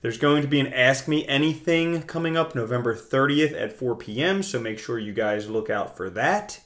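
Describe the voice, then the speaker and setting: A young man hosting a casual video, talking through his screen in a chatty, jokey way with big swings in loudness. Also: mid-range voice, lively intonation, normal speed. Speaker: crypto video host. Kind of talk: casual screen-share commentary.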